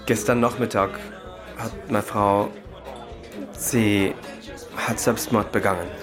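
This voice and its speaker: An actor playing a bereaved, bitter husband, halting and intense, in a radio crime drama. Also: male voice, fairly low pitch, fairly even intonation, over music. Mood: grieving.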